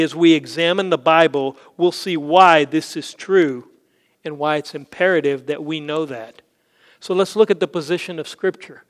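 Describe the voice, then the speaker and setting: A male pastor preaching an earnest sermon, rising on key phrases and then dropping soft. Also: fairly high pitch, in a large hall.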